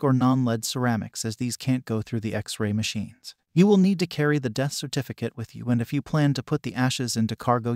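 A man narrating an informational script in an even, measured reading voice, recorded close on a voice-over mic. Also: medium pitch, no echo.

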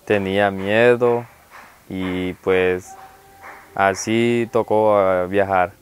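A man's voice: low voice, fairly even intonation, extremely slow, slight background noise. A young man from Honduras telling his story plainly in a documentary interview, indoors. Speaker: migrant. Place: indoor shelter room.

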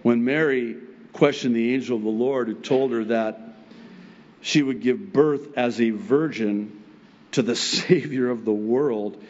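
A middle-aged man whose first language is Arabic, a pastor preaching a sermon from a pulpit microphone on a church stage, animated and chuckling often as he acts out God's replies. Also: mid-range voice, unhurried, highs cut off. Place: church stage with wood pulpit and plants, indoors.

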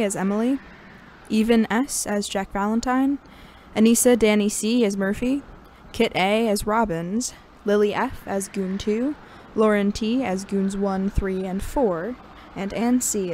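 A woman's voice: medium pitch, a little mellow. A woman announcer giving a scripted read of podcast credits. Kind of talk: scripted narration. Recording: faint background noise.

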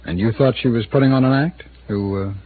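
A man's voice: mid-range voice, a little fast, lightly acted. An actor playing a guarded, evasive male city attorney who hesitates and hints, in a 1950s radio drama. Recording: tinny lo-fi old radio broadcast with hiss.

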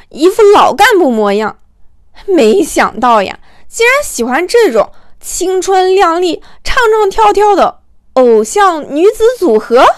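A woman narrating a comic romance novel as an audiobook in an expressive, colloquial storytelling manner. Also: extremely high pitch, no echo, clean studio sound.

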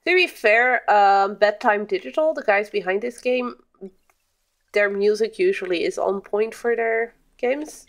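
A young woman streams a game from her room. She talks casually to her viewers in a playful, exclamatory way, with a middling pitch. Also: unhurried. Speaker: livestreamer. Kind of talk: casual gaming stream chat.

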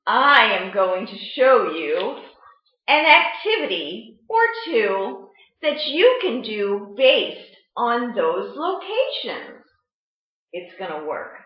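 A middle-aged American woman presenting a storytime lesson for children, explaining in a deliberate, animated way. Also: fairly high pitch. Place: indoor room at home, seated at a table. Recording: muffled webcam sound in echoey room.

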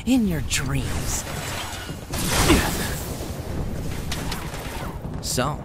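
A man's voice: mid-range voice, sing-song, slow. An actor playing a cocky, flippant young henchman in a video game, taunting his enemies with a drawl. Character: cocky, flippant male villain henchman, lanky young fighter. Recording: noisy machinery behind.

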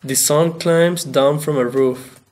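A man's voice: a little high, fairly even intonation, slow, darkish tone. A man reading a poem aloud as a student, in a poetry recital style.

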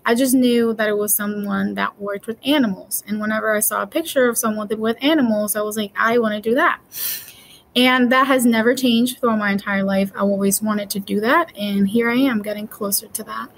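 A woman's voice: medium pitch, fairly even intonation, fairly quick, slight background noise, low-quality recording. A woman in her twenties from Puerto Rico, a veterinary student answering interview questions in a cheerful, earnest way.

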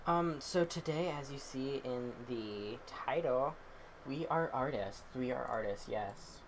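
A man's voice: mid-range voice, lively intonation, takes it slowly, background noise. A young man co-hosting a casual livestreamed podcast, rambling and apologizing, chewing snacks as he talks. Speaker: podcast co-host.

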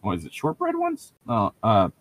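Male co-host, mid-pitched and expressive, casual mocking banter, dry sound. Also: moderate pace, no echo. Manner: sarcastic, mocking, swears freely. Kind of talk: casual comedic group commentary.

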